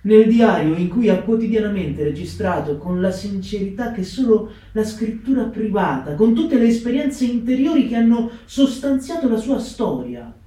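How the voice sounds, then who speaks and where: An actor playing an earnest, admiring narrator of a life story in a staged theatre reading, with measured, declamatory phrasing. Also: male voice, high-pitched, lively intonation, dark tone. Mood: solemn, admiring. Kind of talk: solemn scripted theatrical narration. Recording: very echoey stage, distant microphone.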